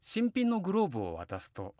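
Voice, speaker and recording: A man's voice: high-pitched, huge pitch swings, brisk, mellow, no room sound, clean studio sound. A man playing a kindly, wistful middle-aged family man in a Japanese voice drama, both narrating reflectively and talking in dialogue. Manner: reflective storytelling alternating with hearty, coaxing dialogue.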